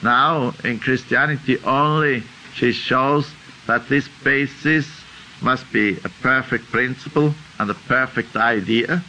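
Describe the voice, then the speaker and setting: An older Swiss-accented man teaches a class in a sharp, very bright voice. He talks very slowly and deliberately, working his ideas out aloud. He often checks in with a questioning "huh?". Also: medium pitch, slight background noise, low-quality recording. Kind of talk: unscripted lecture.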